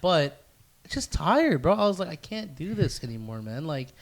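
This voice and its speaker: A man's voice: fairly high pitch, big pitch swings, normal speed. A young man hosting a casual chat, speaking informally and often repeating his phrases. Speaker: podcast host.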